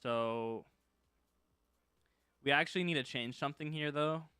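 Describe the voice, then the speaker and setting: A man's voice: a little high, unhurried, bright, forceful tone, clean tone, no room sound, studio quality. A man casually teaching scripting on a live stream, thinking aloud as he works and chatting with viewers. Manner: thinks aloud while working, loose and informal, reads chat and answers.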